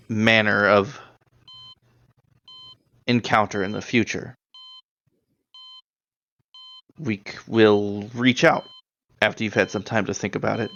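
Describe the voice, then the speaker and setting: A man playing a formal, guarded government agent recruiting in a tabletop roleplay, choosing words deliberately. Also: on the low side, creaky, fairly bright tone, dull audio, heavily acted. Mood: cryptic, recruiting.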